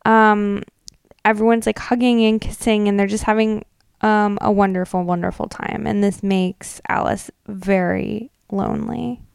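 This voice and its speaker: A woman's voice: mid-range voice, little pitch movement, measured pace, vocal fry, clear, resonant tone, no echo, studio quality. An American woman co-hosting a podcast, retelling things casually in informal, slangy speech peppered with "like". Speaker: podcast co-host. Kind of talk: casual chatty retelling and commentary.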